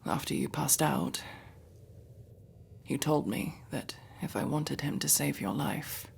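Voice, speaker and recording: A woman playing a prickly but protective masked elf in a fantasy audio roleplay, sharp-tongued and intense. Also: sits low, slow, gravelly, whispered, full character voice. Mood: relieved, scolding. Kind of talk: dramatic ASMR fantasy roleplay acting.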